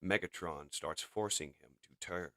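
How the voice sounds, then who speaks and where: A man with a fairly low voice reads and reviews comics. He moves between dramatic narration and casual commentary, recorded dry without room sound. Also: measured pace, fairly bright tone, no room sound.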